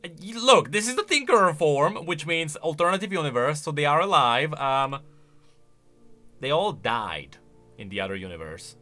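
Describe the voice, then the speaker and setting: A man doing off-the-cuff game commentary as a YouTuber. His voice is fairly high and bright, swinging a lot in pitch as he exclaims and reacts. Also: moderate pace, faint music behind. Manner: animated, exclamatory.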